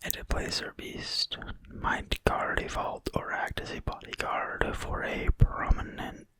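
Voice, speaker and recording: A person reading in a quiet, breathy whisper, soft and deliberate, as in an ASMR reading of a fantasy book. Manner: slow, soft, unhurried, deliberate. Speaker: ASMR video creator and reader.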